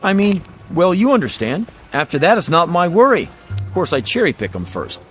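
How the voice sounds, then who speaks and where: A used-car salesman in old-time radio style, with a highish, very animated voice. He rattles off folksy patter, gets flustered, then turns blunt, with some music behind him. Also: fairly quick. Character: fast-talking used-car salesman, helplessly blurting the truth.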